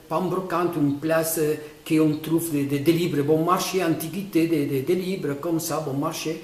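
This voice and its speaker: A man, a painter who is not a native French speaker, explaining his own painting in a halting way with many fillers and restarts. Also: fairly high pitch, echoey room. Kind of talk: informal spoken explanation.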